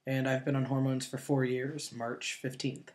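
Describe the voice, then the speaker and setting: A man in his late twenties speaks in a relaxed, easygoing way. He co-hosts a casual chat at a middle pitch and often stops on fillers like "um" and "you know.". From northeastern Ohio. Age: 20s. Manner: relaxed, many fillers and pauses. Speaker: co-host.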